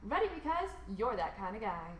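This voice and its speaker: A woman reads a rhyming children's picture book aloud expressively, with theatrical emphasis and drawn-out words. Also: on the high side, big pitch swings, fairly bright tone, reverberant, a little hiss or hum. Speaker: storybook reader.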